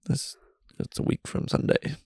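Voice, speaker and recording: A man's voice: measured pace, darkish tone, dry recording, studio quality. A man co-hosting a podcast in casual chat, wry and teasing, recorded in a friend's basement. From Britain. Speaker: podcast co-host.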